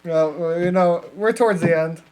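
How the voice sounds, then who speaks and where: A man's voice: a little high, moderate pace, a little mellow, poor audio. A man co-hosting a podcast, riffing casually and profanely in rambling sentences, often giggling as he talks. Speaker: podcast co-host. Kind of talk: casual joking chat, riffing on ideas.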